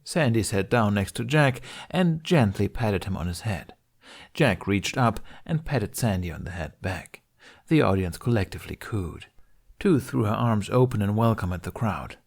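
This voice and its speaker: A man's voice: lowish voice, lively intonation, normal speed, gravelly. A man reading a fantasy story aloud as scripted narration. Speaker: podfic narrator.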